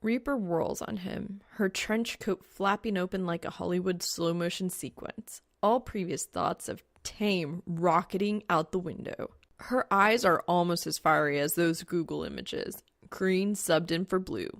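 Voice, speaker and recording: A woman reading fiction aloud as an audiobook narrator, with a wry, expressive delivery full of casual slang. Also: lowish voice, a little slow, few pauses, no room sound, clean studio sound. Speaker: audiobook reader of fan fiction. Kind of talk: scripted story narration.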